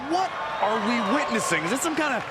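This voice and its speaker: High-voiced wrestling color commentator, loud crowd. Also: male voice, sing-song, fairly quick, very noisy. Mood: opinionated. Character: opinionated, sardonic male wrestling color commentator. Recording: loud crowd roar behind.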